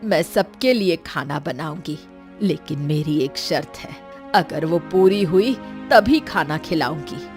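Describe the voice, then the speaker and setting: A woman plays an old village granny from a cartoon moral tale, low in pitch and unhurried. She is shrewd and caring, coaxing with calm assurance, over a music bed. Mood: assured, bargaining. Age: older. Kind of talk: scripted cartoon story acting.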